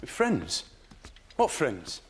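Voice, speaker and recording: An actor playing a gruff, stubborn veteran major, blunt and surly, in a period drama. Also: male voice, mid-range voice, huge pitch swings, drawn-out words, a little hiss or hum, full character voice. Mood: defiant.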